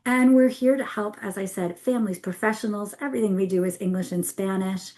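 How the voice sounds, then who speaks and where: A woman's voice: mid-range voice, a little fast, a little mellow. An upbeat woman gives a webinar presentation over a video call. She talks in a loose, chatty way and fixes her own slips mid-sentence. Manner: chatty, self-correcting, upbeat.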